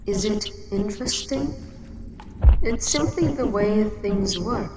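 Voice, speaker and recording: A woman voicing an ancient, regal kaiju queen in a sci-fi audio drama, speaking in a measured, formal, matter-of-fact and protective way. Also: mid-range voice, expressive. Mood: patient, matter-of-fact. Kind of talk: scripted roleplay audio drama monologue. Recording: very echoey cavern-like reverb, loud background noise.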